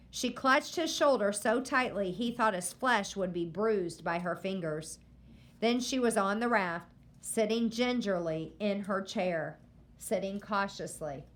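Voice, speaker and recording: Teacher lady reading a chapter aloud real slow, stops to quiz the class. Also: mid-range voice. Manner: slow, deliberate, stopping to quiz listeners. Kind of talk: reading a novel aloud with comprehension questions.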